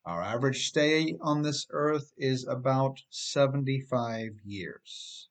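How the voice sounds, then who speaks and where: A middle-aged man, an author reading his own scripted motivational writing with emphatic, deliberate phrasing. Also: mid-range voice.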